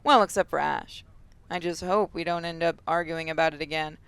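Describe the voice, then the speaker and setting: A woman hosting a gaming channel, reading visual novel narration aloud as expressive first-person storytelling. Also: low-pitched, brisk, smooth, no room sound.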